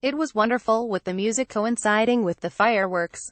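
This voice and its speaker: A woman's voice: fairly high pitch, a little fast, no room sound. Woman reading an article aloud, dry recording. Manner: reads captions, credits and quotes straight through. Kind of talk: scripted narration, article read aloud.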